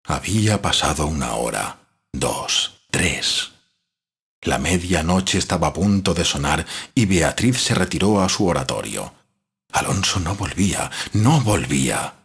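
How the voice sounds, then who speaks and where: A man with a low, deep voice narrates a gothic literary tale. His storytelling is measured and dramatic. Music plays softly behind the narration. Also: animated, vocal fry, slightly rough. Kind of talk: scripted literary horror narration.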